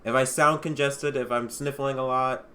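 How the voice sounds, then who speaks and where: A man's voice: medium pitch, fairly even intonation, moderate pace, sharp tone, slight background noise. A man hosting a sim racing livestream, sounding a bit congested from allergies, chatting casually and lifting his voice for intros. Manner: upbeat announcer, lifts his voice for intros. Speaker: sim racing league host. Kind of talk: casual race pre-show and livestream talk.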